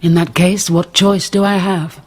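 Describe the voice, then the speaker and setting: A woman acting a dignified, sorrowful middle-aged mother in a radio drama, grave, resigned and resolute, with a husky voice. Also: lowish voice, little pitch movement, measured pace, a little mellow. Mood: pained, resolute. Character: dignified, sorrowful mother, an abandoned nobleman's wife.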